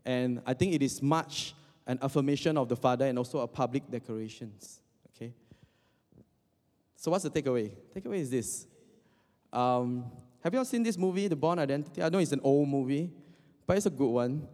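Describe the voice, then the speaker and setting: A man's voice: medium pitch, expressive, brisk, dark tone. A young man from Indonesia giving a casual, joking sermon, full of fillers and restarts. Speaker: preacher. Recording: long hall reverb.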